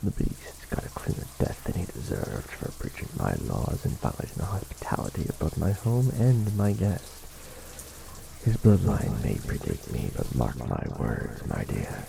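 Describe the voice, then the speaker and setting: Casting: male actor as brooding, protective vampire lord for ASMR roleplay; low creaky voice, hushed formal delivery with sweeping intonation. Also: huge pitch swings, noisy background, full character voice. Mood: grim, vengeful. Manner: formal, archaic wording, slow and hushed. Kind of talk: ASMR romantic roleplay, soft close speaking.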